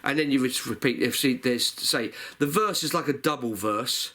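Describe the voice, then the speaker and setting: A man's voice: medium pitch, normal speed. A man, congested from a cold and a little nasal, casually teaching a song, calling out chords and half singing them while he plays. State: stuffy nose from a cold. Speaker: guitar teacher. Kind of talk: casual music lesson. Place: living room at home. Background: cigar box guitar playing.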